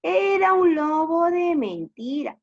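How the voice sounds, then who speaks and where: A woman voicing a mischievous male shepherd from a children's storybook, with drawn-out, exaggerated cries. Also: animated, dull audio, heavily acted. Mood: gleeful mocking. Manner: drawn-out, high-pitched cries for help. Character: mischievous male shepherd shouting false alarms. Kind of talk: children's storybook read-aloud.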